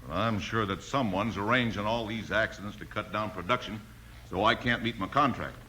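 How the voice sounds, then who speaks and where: An actor playing a beleaguered frontier logging boss in a 1950s radio western, earnest, exasperated and folksy. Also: male voice, lowish voice, brisk, sharp tone. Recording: noisy 1950s radio recording.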